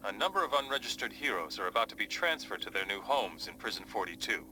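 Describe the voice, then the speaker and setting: A man playing a confident superhero leader in a game cutscene, giving measured, authoritative briefings. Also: fairly low pitch, very animated, music in the background, poor audio. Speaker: mission briefer.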